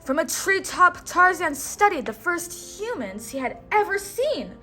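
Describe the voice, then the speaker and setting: A woman reading a storybook aloud to a child in an expressive, instructional way, pausing on words to be repeated. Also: very high voice, faint music behind. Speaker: storybook read-aloud host. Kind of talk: children's read-aloud narration with call-and-response prompts.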